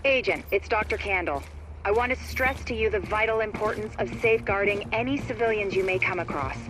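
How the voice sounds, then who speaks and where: A woman's voice: mid-range voice, fairly quick, close to an everyday voice, low-quality recording. A woman playing a driven, sardonic virologist in a video game, blunt and impatient, with a wry delivery. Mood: insistent, dry. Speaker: doctor.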